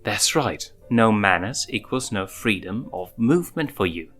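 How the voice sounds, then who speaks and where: Guy doing a smug young lioness babysitter, teasing and bossy, storybook reading with soft music. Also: lowish voice, lively intonation, measured pace. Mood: threatening, bossy. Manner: teasing, commanding.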